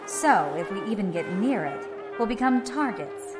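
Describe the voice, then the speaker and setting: A woman acting in an audio drama as a wry, decisive mission leader who gives brisk orders. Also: mid-range voice, big pitch swings, over loud music, close to an everyday voice. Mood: focused, dry.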